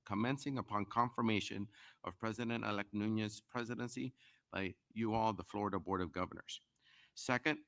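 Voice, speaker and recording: A man chairing a university board, presenting a formal recommendation in a measured, procedural way. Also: lowish voice, creaky, fairly bright tone.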